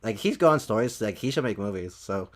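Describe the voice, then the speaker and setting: A man with a fairly low voice hosts an informal podcast. He speaks casually and hesitantly, often trailing off mid-thought, with loose, unpolished phrasing. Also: expressive, brisk. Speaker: podcast host. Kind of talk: casual podcast chat, reading from a list.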